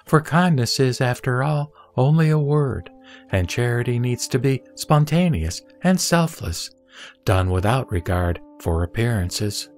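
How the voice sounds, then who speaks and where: A man playing the Buddha as a serene teacher, speaking in a measured, solemn way in an audio drama of scripture. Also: mid-range voice, expressive, a little mellow. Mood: calm, instructive. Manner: measured, solemn, didactic delivery. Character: the Buddha, serene male teacher instructing a disciple. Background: background music.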